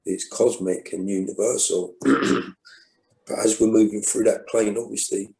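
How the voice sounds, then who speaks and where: A man's voice: on the low side, fairly even intonation, a little slow, warm tone, reverberant, rough recording. A man, reflecting thoughtfully in a conversation, with many restarts. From England. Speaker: guest poet.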